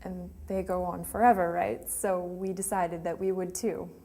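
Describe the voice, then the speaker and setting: A woman's voice: lowish voice, little pitch movement, normal speed, warm tone, lightly acted. An actress playing a grieving young woman remembering her lost sweetheart in a hesitant, emotional, confessional monologue. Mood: tender, nostalgic. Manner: hesitant, emotional, with trailing phrases. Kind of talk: dramatic monologue, confessional and conversational. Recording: clearly noisy room ambience.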